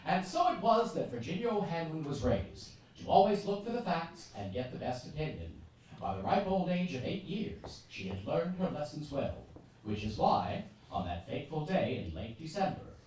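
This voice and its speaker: A woman narrating a stage radio play as a theatrical storyteller, with measured, dramatic phrasing. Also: deep voice, sing-song, a little mellow. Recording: echoey theatre, far mic, clear noise.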